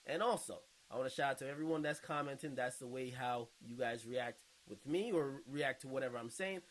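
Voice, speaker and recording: A man's voice: medium pitch, brisk. A man hosting a casual online video, reading out and reacting to viewer comments in an informal, slangy way with some swearing. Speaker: online video host and podcaster.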